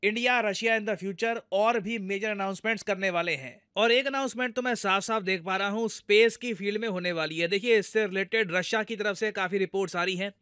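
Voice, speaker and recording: A man's voice: sits high, fairly quick, hardly any pauses, bright, forceful tone, clear, resonant tone, dry recording, clean studio sound. A man with an Indian accent giving explanatory news commentary in a conversational way, addressing viewers directly and going step by step. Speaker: news commentator, video host.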